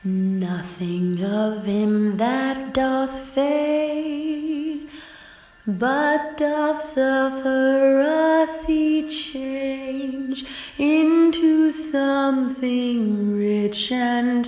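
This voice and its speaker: Actress for ethereal spirit singer in audio drama; mournful, mellow, very drawn-out delivery in an echoey space. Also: female voice, sits high, steady tone, faint music behind. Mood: haunting, mournful. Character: ethereal island spirit singing a haunting dirge. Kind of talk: slow sung verse in audio drama. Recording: echoey room, remote recording.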